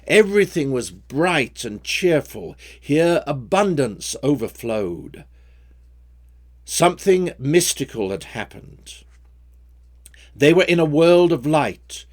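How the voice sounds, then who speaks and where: Slow, expressive man reciting poetry. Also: on the high side. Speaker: poet reading own poems. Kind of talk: expressive recitation of rhyming story poems.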